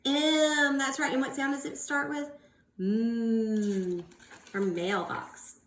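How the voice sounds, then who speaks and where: A woman's voice: fairly high pitch, steady tone, takes it slowly, roomy sound, slight background noise. A woman teacher reading aloud to young children, encouraging them with questions and exaggerated enunciation. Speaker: early-years teacher.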